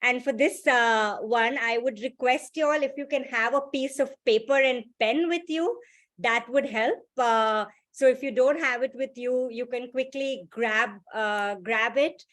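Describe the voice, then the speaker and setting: A woman facilitating an online workshop over a video call, speaking in a brisk, friendly way with many fillers. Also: a little high, fairly bright tone.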